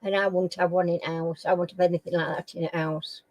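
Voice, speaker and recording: A middle-aged woman from Sheffield with a Sheffield accent, hosting a casual live chat with viewers in a slow, homely way and often clearing her throat. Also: fairly low pitch, little pitch movement, no echo. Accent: Sheffield English. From Sheffield, England. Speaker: live stream host.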